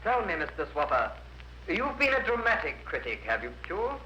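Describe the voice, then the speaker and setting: A man's voice: high-pitched, big pitch swings, moderate pace, sharp tone, muffled recording, exaggerated voice. An actor playing a formal, probing male barrister, putting polite, pointed questions in a 1950s radio drama. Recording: roomy, noisy vintage radio recording.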